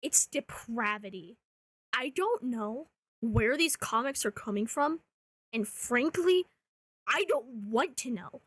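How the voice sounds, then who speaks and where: High-pitched, animated woman, sarcastic rant. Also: slow, slightly rough, no echo. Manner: sarcastic, blunt, swearing. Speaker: video host, commentary creator. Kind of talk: rant commentary.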